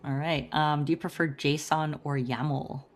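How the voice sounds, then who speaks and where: Bubbly low-voiced woman podcast host. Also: fairly even intonation, unhurried, a little hiss or hum. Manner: bubbly, laughs often. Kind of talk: upbeat podcast interview.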